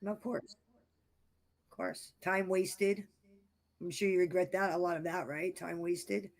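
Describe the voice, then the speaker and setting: A man with a raspy, damaged voice that is going hoarse, chatting frankly over a video call and repeating himself as he rambles. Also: sits high, little pitch movement, measured pace. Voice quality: raspy, gravelly voice from vocal cord damage. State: losing his voice, hoarse. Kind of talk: casual late-night chat.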